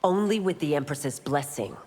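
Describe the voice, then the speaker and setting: A proud, law-bound woman constable from a fantasy game. Her voice is low and lively, her speech formal and firm, with long hall reverb around it. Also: normal speed, slight background noise. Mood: stern. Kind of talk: video game character dialogue.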